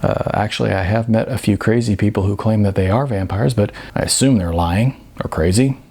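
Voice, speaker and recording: A man's voice: fairly low pitch, brisk, creaky, dark tone, husky. A man voicing an earnest young film star in an audiobook, courteous, casual and a little hesitant. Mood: polite, hesitant. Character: earnest, polite young male film star, eager amateur.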